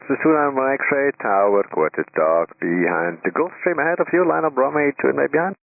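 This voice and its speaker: A man working as an air traffic controller, giving brisk, procedural clipped instructions over a radio. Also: mid-range voice, sing-song, creaky, no room sound. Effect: radio transmission. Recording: tinny narrowband aviation radio.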